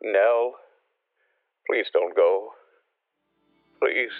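Radio play farmer: bitter, nostalgic, plain-spoken, unhurried, muffled sound with music behind. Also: male voice, on the low side. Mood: mournful, wistful. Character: grieving, bitter rural farmer, proud and nostalgic.

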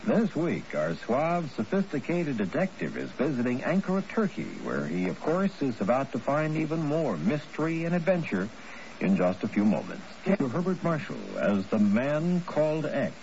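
A male radio announcer gives a scripted introduction. His pitch is fairly high and lively. The recording is somewhat dull. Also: normal speed. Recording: muffled, faintly noisy radio recording.

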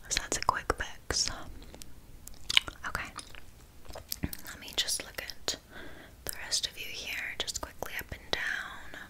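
A woman voicing a blunt, vain, chatty town witch in a whispered ASMR roleplay, casually condescending. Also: natural voice.

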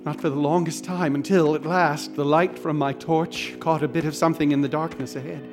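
A man playing a haunted investigator with a high voice. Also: fairly even intonation, brisk, close to an everyday voice. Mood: urgent. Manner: earnest and urgent, insistent. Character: shaken young male investigator, obsessive and haunted. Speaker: narrator and investigator recounting events. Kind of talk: radio drama narration. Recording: scored with clear background music.

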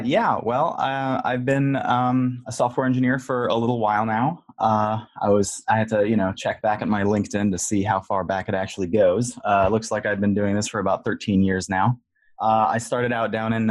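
A man being interviewed as a guest software engineer on a podcast, talking casually and haltingly with lots of filler words. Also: lowish voice, no room sound.

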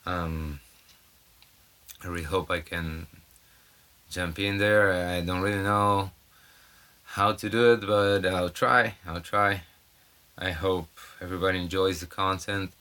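Brazilian male podcast host, low voice, bright edge, loose halting monologue on a phone mic. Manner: rambling, halting, with many fillers and restarts. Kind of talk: casual solo podcast monologue. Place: off-grid home in the mountains. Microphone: cell phone.

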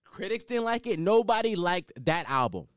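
A young man hosting a solo podcast, blunt and opinionated, swearing and riffing off the cuff, with a higher-pitched voice. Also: big pitch swings, normal speed, clean tone, dry recording, studio quality. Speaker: podcast host.

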